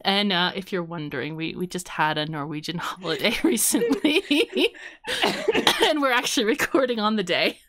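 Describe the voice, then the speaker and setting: A woman's voice: mid-range voice, expressive, normal speed, fairly bright tone. A woman co-hosting a podcast in casual, playful chat, laughing often and guessing aloud. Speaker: podcast co-host.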